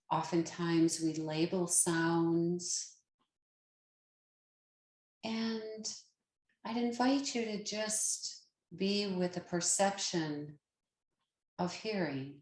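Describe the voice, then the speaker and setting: Slow-paced woman guiding meditation, roomy sound. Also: fairly low pitch. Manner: calm, gentle, unhurried with long pauses. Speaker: meditation guide, yoga teacher. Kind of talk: guided meditation narration.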